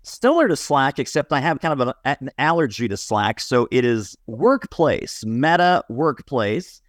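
A man with a medium-pitched voice, talking conversationally as a guest on a business panel. The recording is close and dry, free of room echo. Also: very animated, a little slow. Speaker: podcast guest, business author.

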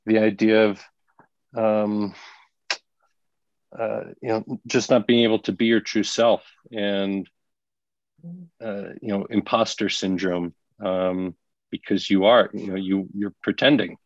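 Guy talking slow n halting, pretty monotone, muffled dry audio. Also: fairly low pitch, dry recording. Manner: thoughtful, halting with many fillers. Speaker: leadership consultant guest. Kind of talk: reflective interview talk.

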